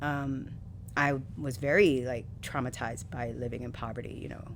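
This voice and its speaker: Low-voiced New woman, candid. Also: normal speed. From New York City. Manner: candid, animated storytelling with frequent laughs. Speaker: poet, podcast guest. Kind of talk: casual interview conversation. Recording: faint background noise.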